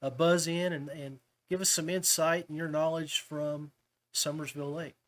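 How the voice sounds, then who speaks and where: Guy hosting a fishing podcast, voice on the higher side, real buddy-buddy casual chat. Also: flat delivery, normal speed, a bit bright. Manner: chummy, addresses guests as buddy and man. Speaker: podcast host.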